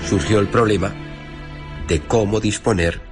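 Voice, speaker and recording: A man narrating a literary radio drama as a storyteller, with wry, formal and deliberate phrasing. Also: on the low side, fairly even intonation. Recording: music playing behind the narration.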